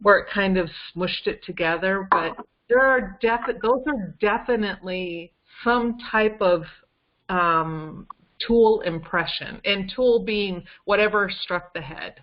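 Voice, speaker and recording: A woman's voice: mid-range voice, unhurried, muffled recording. A woman on a conference call explaining details conversationally, with an emphatic, insistent manner. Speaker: guest on a case-discussion call.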